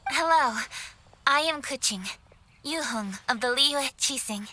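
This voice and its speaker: A woman voicing a brisk, dutiful young official in a game cutscene, speaking crisply and politely. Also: high voice, expressive, pushed and bright, a little hiss or hum, heavily acted. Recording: game audio with clear music. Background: game music.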